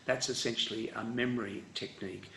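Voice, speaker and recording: A man's voice: medium pitch, fairly quick, gravelly, echoey room, a little hiss or hum, poor audio. Australian man giving a rambling lecture. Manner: relaxed, rambling lecture with many fillers and restarts. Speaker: education professor. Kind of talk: online conference presentation.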